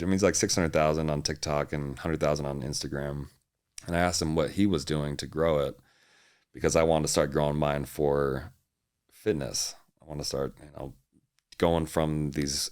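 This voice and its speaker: A man's voice: a bass voice, darkish tone. A young man from Hawaii, a fitness coach, chatting easily as a podcast guest and laughing often. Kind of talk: casual interview chat.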